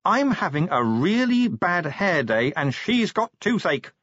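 A man's voice: sits high, sing-song, unhurried, a bit bright, breathy edge, no echo, dull audio, pitched above the performer's own voice, full character voice. A man voicing a commanding female werewolf police sergeant in an audiobook, curt, bossy and exasperated. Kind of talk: audiobook character voice.

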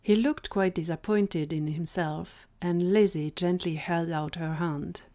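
Lower-voiced woman narrating a story. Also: steady tone, a little slow, mellow. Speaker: narrator of a fan audio story.

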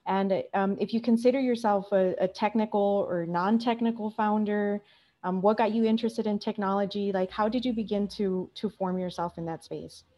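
A woman's voice: medium pitch, steady tone, moderate pace, dark tone. A woman moderating a panel discussion in an informal, conversational way.

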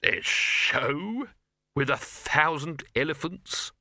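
A man voicing a morose, bitter, self-pitying man in an audiobook, with a halting, drawn-out delivery. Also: high-pitched, very animated, sharp tone, pitched above the performer's own voice, full character voice. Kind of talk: audiobook character voice.

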